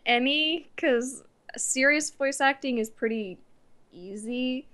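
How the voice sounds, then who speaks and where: A nineteen-year-old woman chatting casually as an interview guest, with a giggly, animated way of telling stories. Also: sits high, slow pace. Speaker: guest, amateur voice actor.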